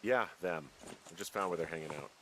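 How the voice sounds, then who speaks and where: A man's voice: low-pitched, a little fast, a bit bright, slight background noise, poor audio. An actor playing an easygoing, self-deprecating American man out in the wilderness, talking in a casual, hesitant, dry way. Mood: wry, weary. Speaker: player character, fire lookout. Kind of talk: naturalistic game voice acting.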